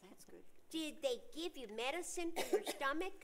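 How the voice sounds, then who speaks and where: A woman's voice: high voice, animated, normal speed, fairly bright tone. A woman playing a meddling, solicitous cousin in an amateur staged play reading, speaking a little hesitantly.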